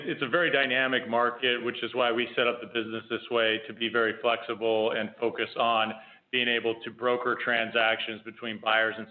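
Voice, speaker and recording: A man speaking as a company executive on a conference call, explaining business in a measured way over the phone. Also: medium pitch. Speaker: company executive answering analysts. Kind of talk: earnings conference call answers. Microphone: telephone. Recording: tinny phone conference line.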